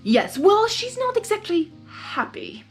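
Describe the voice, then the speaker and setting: A woman's voice: very high voice, sing-song, a little slow, faint music behind, voice pushed up, exaggerated voice. A woman voicing a petulant, self-important male chaos creature in a story, melodramatic and exasperated. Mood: frustrated, pleading.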